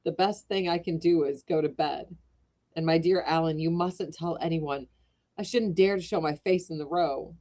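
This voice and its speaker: A woman reading aloud as a sulky, embarrassed young gentleman in a storybook. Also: sits low, moderate pace. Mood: glum. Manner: sulky, gloomy.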